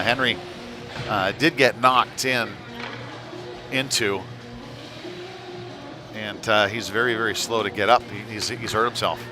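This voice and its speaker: A man giving live play-by-play hockey commentary, pausing mid-phrase as he follows the action. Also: fairly low pitch. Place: indoor ice rink. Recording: noisy arena, live stream.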